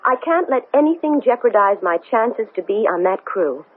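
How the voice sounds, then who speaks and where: A woman's voice: on the high side, very animated. Tinny old-radio restless woman astronaut. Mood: earnest, excited. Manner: agitated, halting, stammering speech. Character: famous woman astronaut, restless, anxious, strong-willed. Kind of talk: 1970s radio drama acting. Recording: noisy, thin, phone-like old radio broadcast.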